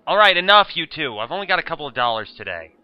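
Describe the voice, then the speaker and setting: Audio drama: bookish, precise teenage brainiac, male actor, expressive pitch, muffled lo-fi sound. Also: rapid-fire. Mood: exasperated. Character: brainy, wordy, fussy nerdy teenage schoolboy. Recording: dull lo-fi recording, crowd noise behind.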